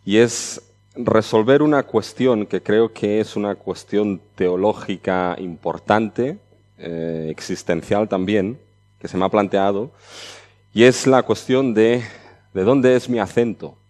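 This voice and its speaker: A man's voice: lowish voice, takes it slowly, darkish tone. A middle-aged man from Barcelona with a mixed Catalan and Chilean Spanish accent, preaching a conference sermon in a conversational way and often ending phrases with a checking "¿ya?". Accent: mixed Catalan-Spanish with Chilean touches.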